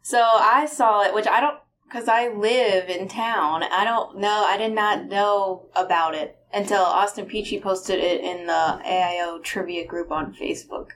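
A woman talks casually as a guest on a podcast. Her voice sits at a middle pitch and her delivery is informal and conversational. There is a little noise behind her. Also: a little slow, a bit bright, rough recording. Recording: noticeable background noise.

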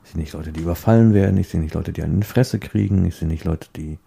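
A man chatting casually in a rambling, halting way, often repeating words as he searches for the next one. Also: deep voice, gravelly, dark tone, husky.

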